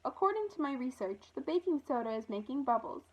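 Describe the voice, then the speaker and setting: A woman voicing a bright, curious schoolgirl from a children's storybook, keen and studious. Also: high voice, very animated, brisk, dull audio. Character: bright, curious schoolgirl who explains science.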